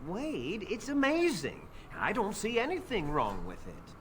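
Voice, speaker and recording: A man's voice: sits high, wildly swooping pitch, a little slow, a bit bright, slight background noise, full character voice. A man voicing a weary, long-suffering shopkeeper in a fantasy video game, dry and exasperated as he placates people. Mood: placating. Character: weary, long-suffering male armor shop keeper.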